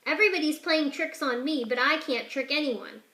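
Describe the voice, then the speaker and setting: Female narrator for a kids' picture-book read-aloud, high and bright, lively character voices, roomy echo. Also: brisk. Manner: expressive, shifts pitch and loudness for each character. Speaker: teacher reading aloud.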